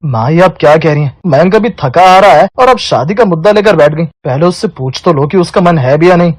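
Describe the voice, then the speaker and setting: A man's voice: fairly high pitch, lively intonation, brisk, dry recording. A man playing a sensible Indian elder brother, admonishing his family in a cartoon story. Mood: protesting.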